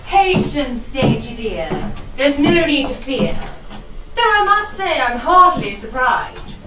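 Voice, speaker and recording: A saucy, scheming society lady played by a woman speaks in a high, animated voice. Her rhymes are arch and teasing, in period stage comedy style. The sound is distant and echoey. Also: slow pace, full character voice. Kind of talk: comic costume-drama stage acting in rhyme. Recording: echoey stage, far from the mic.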